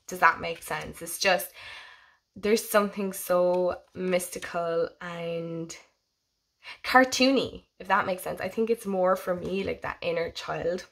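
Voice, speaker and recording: Hoarse woman gushing over tarot decks. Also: fairly low pitch, slow, a bit bright. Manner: gushing, rambling, chatty. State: hoarse from a head cold. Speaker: tarot channel host. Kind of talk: casual show-and-tell vlog.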